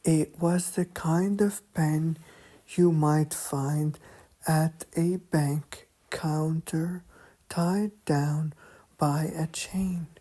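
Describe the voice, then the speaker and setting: A woman narrating a bedtime sleep story in a soft, calm, hushed monotone with long pauses, often slipping toward a whisper. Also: low-pitched.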